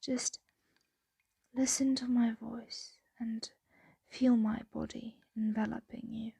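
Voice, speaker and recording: A woman voicing a possessive, doting female dragon in an ASMR roleplay, soothing and teasing in a breathy, intimate whisper. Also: a little high, level tone, takes it slowly, warm tone, no echo, heavily acted.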